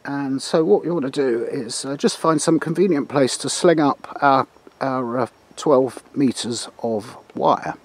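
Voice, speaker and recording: An older man presenting an informal hands-on tutorial outdoors, explaining in a halting way with hesitant pauses. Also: medium pitch, breathy edge. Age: older, about 60s. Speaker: presenter of a radio demonstration. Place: outdoors in a garden beside a field.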